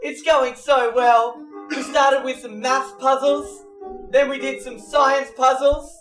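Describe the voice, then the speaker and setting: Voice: high-pitched, little pitch movement, slow, fairly bright tone, roomy sound, faint music behind, poor audio. A woman voicing a cheery puppet kid at camp in a sing-song way, in an improvised comedy show. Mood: gushing.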